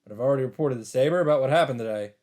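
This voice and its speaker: A man's voice: medium pitch, very fast, darkish tone. A man hosting a let's play, reading game narration aloud with casual, mock-dramatic commentary. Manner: mock-dramatic, interjects swearing and side remarks. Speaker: let's play host reading a visual novel. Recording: game music under the voice. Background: visual novel background music.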